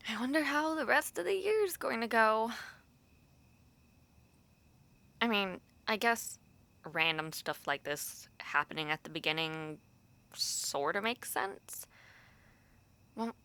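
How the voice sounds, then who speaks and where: A woman's voice: fairly low pitch, sharp tone, heavily acted. A young woman playing a gossipy, teasing university dormmate and close friend, chatting casually to the listener in an audio roleplay. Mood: musing. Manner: conversational, gossipy, pausing with filler words.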